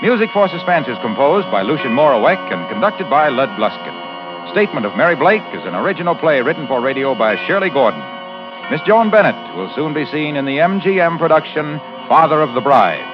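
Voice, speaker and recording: A man's voice: fairly high pitch, very animated, a little fast. An American man announcing from a script in the style of 1940s radio. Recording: muffled vintage radio with music behind.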